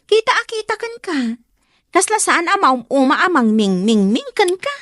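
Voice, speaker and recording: A woman's voice: very high-pitched, big pitch swings, moderate pace, fairly bright tone, heavily acted. An actress with an Ilocano accent playing a teasing, meddling older woman in a radio drama. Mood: playful teasing.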